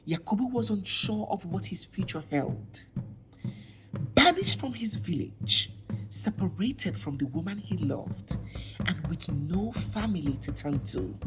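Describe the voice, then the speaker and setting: A woman narrating a scripted folktale as a storyteller, with deliberate, dramatic phrasing and pauses. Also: mid-range voice, rough recording. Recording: music behind the voice. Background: instrumental music.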